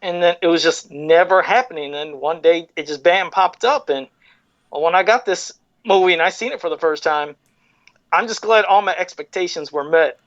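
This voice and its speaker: A man's voice: on the high side, moderate pace, fairly bright tone, no room sound, dull audio. A man hosting a podcast in easygoing, chatty casual talk. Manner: easygoing, chatty, laughs along. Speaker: podcast host. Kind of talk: casual chat.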